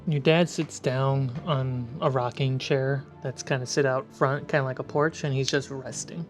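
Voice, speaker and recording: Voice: mid-range voice, steady tone, moderate pace. Game master for a tabletop fantasy game, scene narration, music underneath. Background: background music.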